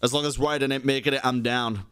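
A man in his thirties commenting on a game as a streamer, excitable and jokey. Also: mid-range voice, fast talker, smooth, no echo. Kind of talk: gameplay commentary. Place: home streaming room.

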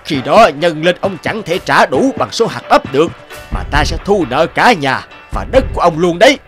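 A man voicing a blustering, greedy rich landlord in an animated folktale. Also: a little high, moderate pace. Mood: scheming, indignant. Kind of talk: Vietnamese animated folktale dialogue. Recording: music behind the voice.

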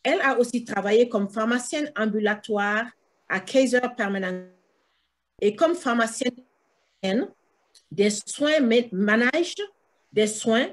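A woman in her thirties hosting a talk show over a video call from a room with bookshelves, reading her guest's bio with fillers and restarts. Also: fairly high pitch.

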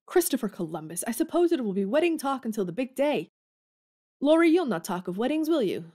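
A woman's voice: a little high, sing-song, fairly quick, dark tone. An actress playing a blunt, spirited young woman in an audio drama. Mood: teasing.

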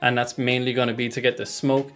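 A young man giving a casual software tutorial, speaking into a desk microphone indoors. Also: mid-range voice, flat delivery, fairly quick. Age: young adult. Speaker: tutorial host. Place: small room at home, indoors. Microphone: desk microphone close in front.

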